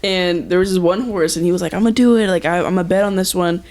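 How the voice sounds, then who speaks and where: A woman's voice: lowish voice, steady tone, very fast, darkish tone. A teenage girl hosting a school podcast, telling a rambling story full of fillers. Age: teens. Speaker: student podcast host. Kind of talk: casual chat.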